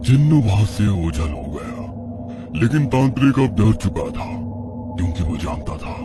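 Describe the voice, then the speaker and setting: Male horror storyteller, low pitch, scripted narration over a music bed.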